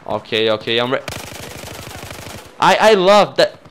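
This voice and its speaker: A young man from Brazil with a Brazilian accent, a native Portuguese speaker, giving excitable, sweary, casual commentary as host of a gaming video. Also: medium pitch, measured pace, a little hiss or hum.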